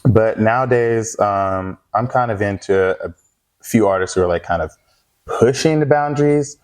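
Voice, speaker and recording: A young man, a pop singer as guest, telling stories in a casual interview, animated and laughing often with slangy phrasing. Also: on the low side, moderate pace.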